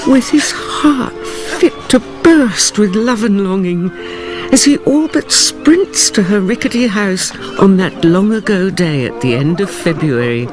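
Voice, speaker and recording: An actress playing a grave storyteller, narrating a radio drama in a deliberate, theatrical way. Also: female voice, mid-range voice, expressive, over music, lightly acted, poor audio. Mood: intense, hushed wonder. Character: grave, dramatic female storyteller recalling a tale of betrayal.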